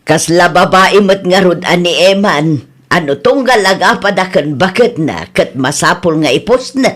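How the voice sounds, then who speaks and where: A woman's voice: fairly low pitch, expressive, normal speed. A woman playing a nosy, gossipy female neighbour in a radio drama, with a teasing manner. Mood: amused, teasing. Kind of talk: Ilocano radio drama acting.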